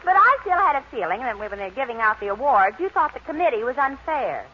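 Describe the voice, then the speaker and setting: A woman's voice: high voice, very animated, quick pace. A woman with an American accent playing a teasing, wisecracking sidekick with punchy delivery in 1940s radio comedy. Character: teasing, wisecracking woman who needles the vain star. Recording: tinny band-limited 1940s radio recording, faint noise.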